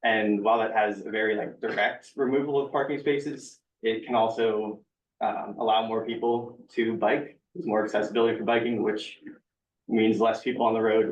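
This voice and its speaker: Low flat voiced guy making his point at a meeting, lots of ums, echoey and muffled. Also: normal speed. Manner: earnest, with many fillers. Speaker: public commenter. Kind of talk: public comment at a community meeting. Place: meeting room. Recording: echoey room, distant mic, dull sound.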